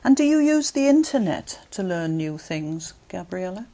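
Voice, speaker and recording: A woman running a speaking exam, who reads out prompts in a measured, clear way and gives brief acknowledgements between answers. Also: lowish voice, big pitch swings, dark tone. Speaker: examiner. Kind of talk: scripted exam questions.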